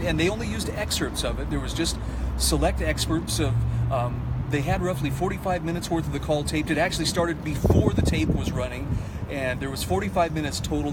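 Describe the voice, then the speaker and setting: A man giving an informal spoken news update with opinion, explaining things earnestly. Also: a little high. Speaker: court trial commentator giving updates. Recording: clear outdoor street and traffic noise.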